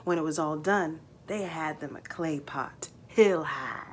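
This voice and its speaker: A woman's voice: low voice, unhurried, slight background noise, voice pushed down. A woman voicing an old farmer father who spins boastful tall tales in a folksy rural dialect for a storybook reading. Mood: boastful, reminiscing.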